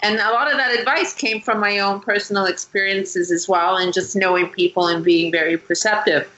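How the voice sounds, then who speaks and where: A woman being interviewed, telling her story in an animated, chatty way. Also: mid-range voice, fairly quick, highs cut off. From Canada. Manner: animated, chatty, with frequent restarts and laughs. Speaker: guest, matchmaker.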